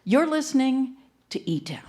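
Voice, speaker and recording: A woman's voice: high-pitched, sing-song, normal speed. A woman announcer reading scripted sponsor credits and promos for a radio show.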